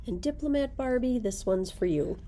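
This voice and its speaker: A woman's voice: fairly high pitch, animated, moderate pace, mellow, a little hiss or hum. A middle-aged woman librarian presenting book picks, reading blurbs aloud in a library room. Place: indoors, library room with bookshelves.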